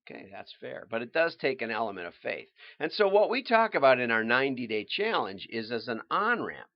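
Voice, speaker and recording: A man hosting a livestream, explaining things informally in a halting, think-aloud way with restarts and asides. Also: mid-range voice, sing-song, dry recording, dull audio. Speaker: livestream host and marketing consultant.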